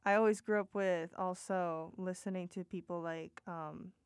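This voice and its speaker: A young woman from Puerto Rico with a deep alto voice, hosting a casual podcast chat and rambling eagerly with lots of "like" fillers. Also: steady tone, normal speed, clear, resonant tone, dry recording, studio quality. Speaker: podcast host.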